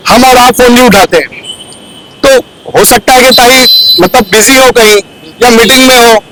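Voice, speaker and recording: A man's voice: very high voice, moderate pace, very noisy. A man arguing his side in a street interview, insistent and argumentative. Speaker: local party worker. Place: busy street outdoors. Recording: loud crowd outdoors.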